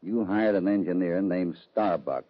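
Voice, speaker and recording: Radio western lead: commanding, unhurried cowboy, deep and rough-edged, dulled vintage recording. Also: male voice. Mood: calm suspicion. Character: shrewd, steady cowboy hero, unhurried and suspicious. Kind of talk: 1940s-50s radio western acting. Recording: muffled old-time radio recording.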